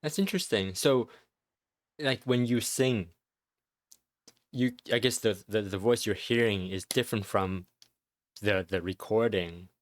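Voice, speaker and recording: A man hosting an interview, asking thoughtful questions in a halting way with many restarts. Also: lowish voice, dry recording. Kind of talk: casual interview.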